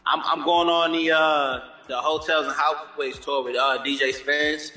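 A man's voice: on the high side, steady tone, measured pace, sharp tone. An American man chatting casually as an interview guest, upbeat and slangy, often adding "you know what I'm saying.". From born in Germany, moved around the United States. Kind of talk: casual chat. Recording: echoey roomy sound with long reverb, music behind.

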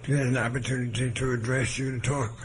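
Hoarse man giving an archival lecture. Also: mid-range voice, flat delivery. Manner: measured and humble, with hesitant restarts. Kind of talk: formal lecture. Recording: archival lecture recording with faint noise.

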